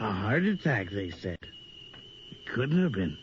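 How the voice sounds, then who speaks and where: An actor playing a bitter, drunken manservant in a theatrical radio horror drama, muttering to himself. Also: male voice, lowish voice, big pitch swings, slow. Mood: bitter, gloating. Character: bitter drunken manservant, resentful, then terror-stricken by a ghost. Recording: muffled radio drama recording, faint noise.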